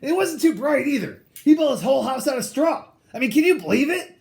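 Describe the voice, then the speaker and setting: A sly male wolf from a children's storybook, acted out by a reader, pleading innocence in chatty, exaggerated fashion. The voice is gravelly and swings widely in pitch as he justifies himself. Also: sing-song, a little fast, a little mellow, exaggerated voice. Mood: excited. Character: sly, aggrieved male cartoon wolf telling his side.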